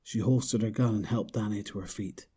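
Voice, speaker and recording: A man's voice: lowish voice, brisk, background noise. A man reading scripted narration for a horror audio drama.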